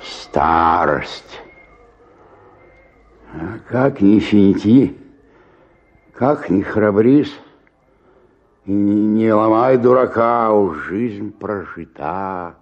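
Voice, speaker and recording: A man's voice: on the low side, animated, extremely slow, pauses often, highs cut off. An older man acting a weary, hungover sixty-eight-year-old stage actor in a radio drama, groaning and muttering in self-pity. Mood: weary, resigned. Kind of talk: theatrical radio drama monologue.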